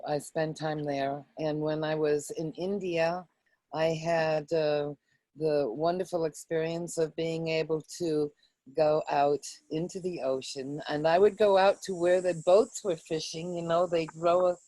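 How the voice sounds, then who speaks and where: Slow, mellow-voiced woman, reflective. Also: low-pitched, fairly even intonation, dry recording. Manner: hesitant, reflective, halting. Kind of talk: poem reading and casual personal recollection.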